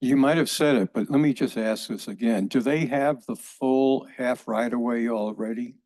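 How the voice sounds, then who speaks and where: A man's voice: mid-range voice, moderate pace, a little mellow, no room sound. A man on a city board asking brief, plain questions to clarify a case. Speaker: board member. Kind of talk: public hearing questioning.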